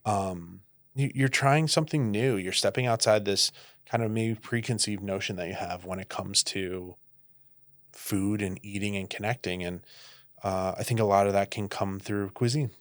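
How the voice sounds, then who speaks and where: A man from the Northeastern United States, a podcast guest talking casually, animated, often laughing and saying "like". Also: lowish voice, moderate pace, no room sound, clean studio sound. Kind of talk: casual conversation.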